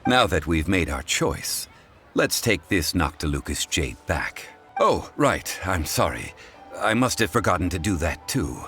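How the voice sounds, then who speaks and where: A man voicing a calm, learned, dignified consultant in a fantasy video game, speaking in a measured, formal way. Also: low voice, faint music behind, exaggerated voice. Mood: mildly apologetic. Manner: measured, formal, deliberate delivery.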